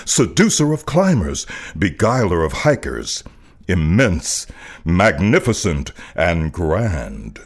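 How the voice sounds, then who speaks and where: Man, American accent, very low bass voice, unhurried pace, theatrical poetry recitation, deliberate phrasing. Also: breathy edge. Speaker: voice artist reading a poem.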